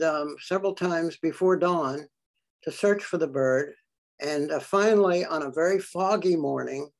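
An older man talks through a slide show, stopping often on ums and uhs as he explains each picture. His voice sits a bit high for a man and has a gravelly, creaky edge. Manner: halting, many fillers. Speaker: birding presenter. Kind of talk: slide talk to a club.

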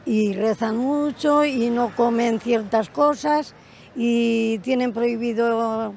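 A woman's voice: a little high, slow pace, vocal fry, a little mellow, a little hiss or hum. An older woman on a city street, chatting and joking into a handheld mic. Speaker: passer-by. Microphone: handheld mic held by interviewer.

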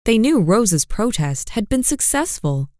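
A woman reading a children's story aloud as a storyteller, slow and expressive. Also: a little high, no room sound, clean studio sound.

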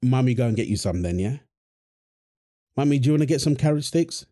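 Guy doing a young dad, warm voice, bouncy tone, playful and sweet. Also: mid-range voice, animated, a little fast, natural voice. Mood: playful teasing. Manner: playful, affectionate, laughs often. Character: doting young dad feeding his baby daughter. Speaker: voice actor in audio roleplay. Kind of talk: wholesome audio roleplay.

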